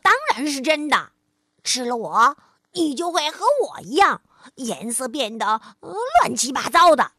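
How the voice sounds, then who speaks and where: A nervous little male chameleon from a storybook, voiced by a woman, who stammers and trips over his words. The voice sits high and swings widely, close and dry. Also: very animated, measured pace, bright, forceful tone, slightly rough, dry recording, full character voice. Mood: bluffing.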